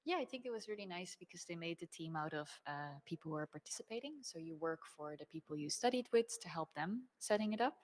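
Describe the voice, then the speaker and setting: Dutch-born young woman, low, thoughtful. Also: lively intonation, fast, few pauses, darkish tone, slight background noise. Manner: thoughtful, searching for words. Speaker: designer, interviewee. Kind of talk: relaxed conversational interview.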